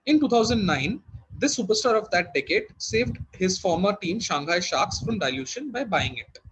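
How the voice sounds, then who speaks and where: A man's voice: sits high, big pitch swings, moderate pace, a bit bright, breathy edge, poor audio. A man working as quiz master, reading formally worded questions to contestants and giving brief verdicts.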